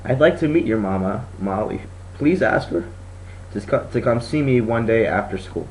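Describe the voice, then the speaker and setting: A man voicing a kind but firm female schoolteacher in a storybook reading, speaking with deliberate emphasis. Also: fairly low pitch, lively intonation, a little mellow, a little hiss or hum, poor audio. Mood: gentle.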